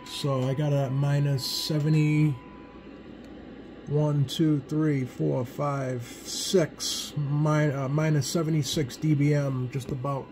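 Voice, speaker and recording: A man giving a casual, unscripted hands-on demonstration to viewers, halting and thinking aloud as he works. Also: fairly high pitch, little pitch movement, darkish tone, a little hiss or hum. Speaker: radio repair hobbyist, video host. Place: indoor home electronics workbench.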